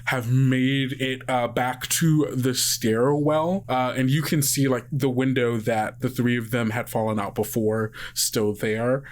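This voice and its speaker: A man running a tabletop game as game master, improvising vivid narration in a halting, conversational way. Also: mid-range voice, steady tone, a little hiss or hum.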